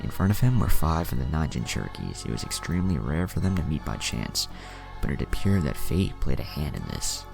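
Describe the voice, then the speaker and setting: A man's voice: rumbling low, sing-song, a little fast, vocal fry, hardly any pauses, music in the background. A man reading a fan fiction story aloud as scripted narration with character dialogue.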